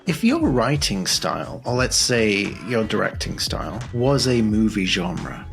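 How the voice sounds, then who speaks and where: A man's voice: lowish voice, very animated, unhurried, vocal fry, faint music behind. A British man hosting a podcast with casual, playful interview chat. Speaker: podcast host.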